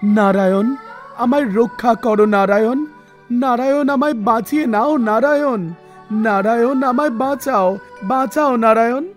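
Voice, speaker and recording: A woman's voice: fairly high pitch, a little slow, voice pushed down, lightly acted. A woman voicing a humble, repentant man who pleads, in a cartoon devotional story. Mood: terrified pleading. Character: repentant male sinner, humble, desperate devoted father. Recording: music bed under the voice.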